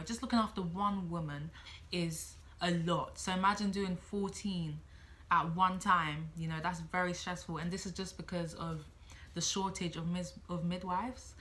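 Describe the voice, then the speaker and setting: A woman's voice: on the low side, sharp tone. A woman in her late teens talking casually to camera as a student midwife giving advice, chatty, thinking aloud and repeating herself.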